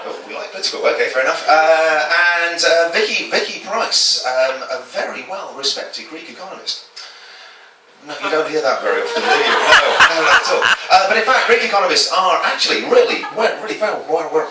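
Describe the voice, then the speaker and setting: A man doing a topical stand-up set in a small comedy club, self-deprecating and stumbling into quick asides. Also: on the high side, expressive, background noise. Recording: echoey club from a distance with chatter.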